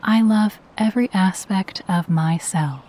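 A woman guiding a meditation in a soft, breathy voice, with a gentle, soothing and unhurried delivery. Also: mid-range voice. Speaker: meditation guide. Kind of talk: guided meditation with spoken affirmations.

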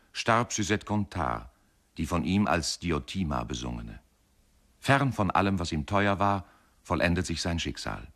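A man's voice: sits low, fairly bright tone. A man narrating a scripted radio essay in a grave, dramatic way.

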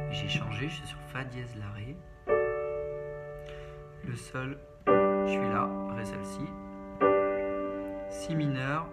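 Male piano tutor, very unhurried pace, medium pitch, short instructional phrases, step-by-step, loud piano playing alongside. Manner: short instructional phrases, naming notes one by one. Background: keyboard piano being played.

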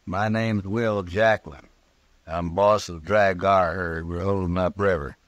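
A combative Texas cowboy, with a lowish voice and an American drawl. He is blunt, folksy and menacing, in vintage radio western style, on a dry recording. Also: fairly even intonation, a little slow, dry recording. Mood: blunt, defiant. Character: defiant, threatening Texas cowboy of the Old West. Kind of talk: old-time American radio western acting.

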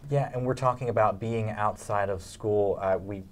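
A man's voice: lowish voice, steady tone, moderate pace, a little hiss or hum. A middle-aged man hosting a conversational on-camera interview, putting questions to his guests. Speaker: interview host.

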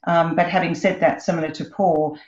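A woman executive giving a measured, composed answer on a webinar panel over a video call. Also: fairly low pitch, steady tone, darkish tone. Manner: measured, composed corporate delivery. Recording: roomy, dull video-call audio.

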